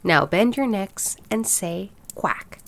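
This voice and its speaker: A woman's voice: mid-range voice, expressive, slow, creaky, fairly bright tone, heavily acted. A woman voicing a fond, proud and bossy mother duck in a storybook, with a fussy, instructive manner. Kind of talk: storybook character voice.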